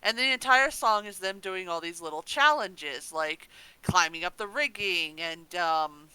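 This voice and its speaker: Excitable podcast co-host, bright clear voice. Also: mid-range voice, measured pace, dry recording, low-quality recording. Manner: playful, excitable, swears. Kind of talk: casual chat, recapping an audio drama.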